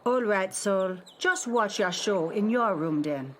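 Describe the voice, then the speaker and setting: A woman voicing a kind, silver-haired old lady star in a children's radio play, soothing and calm. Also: medium pitch, slow pace, slight background noise.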